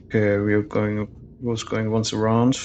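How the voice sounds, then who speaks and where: Let's play host: man, fairly low voice, casual gameplay commentary, slight background noise. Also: completely flat delivery, moderate pace, darkish tone, highs cut off.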